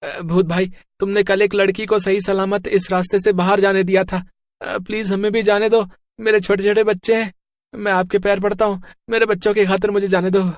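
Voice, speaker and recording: A man's voice: high-pitched, level tone, brisk, no room sound, clean studio sound. A man playing a cocky male office worker in a horror story. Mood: pleading. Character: mocking, flirtatious male office worker and father. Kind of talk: Hindi horror story dialogue.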